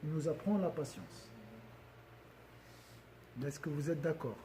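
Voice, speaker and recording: A man with a high, dark-toned voice leads a religious lesson for a gathering. He often puts questions to his listeners, and he repeats and restarts phrases as he talks. Faint noise runs behind him. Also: lively intonation, a little slow. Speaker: religious teacher.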